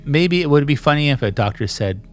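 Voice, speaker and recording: A man's voice: on the high side, expressive, fairly quick, faint music behind. A man hosting a casual, joking chat with his elderly mother, laughing often and slipping into silly voices. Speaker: host interviewing his mother.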